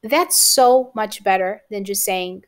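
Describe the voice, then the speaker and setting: A woman's voice: medium pitch, slow. Encouraging Romanian-accented woman teacher. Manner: encouraging, checks in with "okay?". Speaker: English teacher. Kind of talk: exam-prep lesson to camera.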